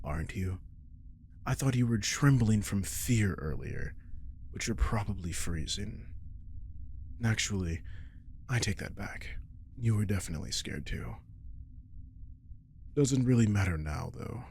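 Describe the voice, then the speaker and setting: A man playing an arrogant, teasing, centuries-old vampire king in an intimate audio roleplay, casual and sardonic, with a low, gravelly voice. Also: darkish tone, a little hiss or hum, exaggerated voice. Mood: startled, amused. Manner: casual, sardonic, swearing, coaxing his prey.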